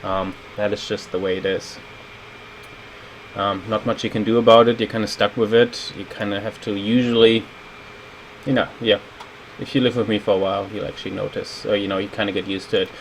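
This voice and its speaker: A man's voice: on the low side, little pitch movement, fairly quick, gravelly, slight background noise, rough recording. A man in his thirties with a German accent, a native German speaker, giving casual livestream game commentary as a level designer and host. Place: indoors at a computer, streaming.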